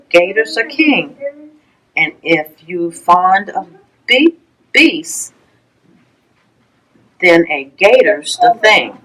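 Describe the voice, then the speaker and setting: Slow-paced woman reading a children's rhyme. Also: medium pitch, a bit bright, low-quality recording. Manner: measured, sing-song rhyming delivery with pauses. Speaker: library storytime reader. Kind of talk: reading a rhyming children's picture book aloud.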